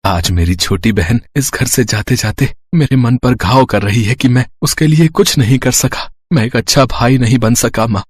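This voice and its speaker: A man's voice: medium pitch, normal speed, few pauses, husky, natural voice. A man acting an earnest, devoted poor elder brother. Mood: heartbroken. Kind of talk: dramatised Hindi moral story acting.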